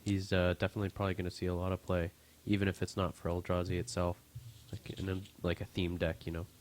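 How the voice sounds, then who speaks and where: Deep-voiced sniffly man, casual chat. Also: completely flat delivery, quick pace, a little hiss or hum. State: sniffly from the flu. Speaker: co-host opening card packs.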